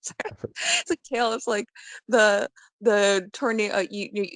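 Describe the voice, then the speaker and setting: A woman guest chatting casually on a podcast about cartoons and memes, enthusiastic and a bit halting. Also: medium pitch, animated, a bit bright, no echo.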